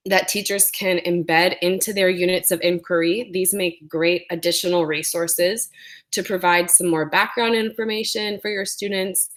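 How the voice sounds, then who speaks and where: A woman's voice: lowish voice, fairly bright tone. A woman presenting an educational webinar, speaking with a sore throat and explaining things with frequent ums.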